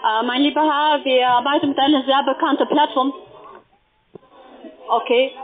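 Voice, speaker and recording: A woman who is a non-native speaker, making a sales pitch as a call centre agent. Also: steady tone, normal speed. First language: not German. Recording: narrow, tinny phone line.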